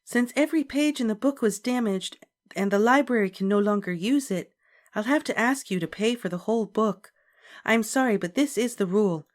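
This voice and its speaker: A woman voicing a kind, understanding children's librarian who speaks gently and politely. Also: fairly high pitch, moderate pace, voice pushed up, natural voice. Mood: gentle.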